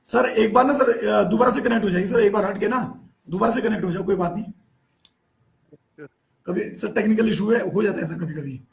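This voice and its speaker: A hoarse man hosting a live online poetry meet from a remote spot with a poor network, often apologizing and chasing guests about their cameras. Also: high-pitched, a little fast. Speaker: live stream host.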